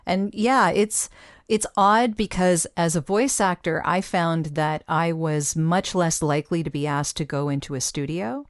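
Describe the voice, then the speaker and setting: Low-voiced woman hosting a podcast interview. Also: measured pace, dry recording, clean studio sound. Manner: conversational, laughs often. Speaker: podcast host and voice actor. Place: professional home voice studio.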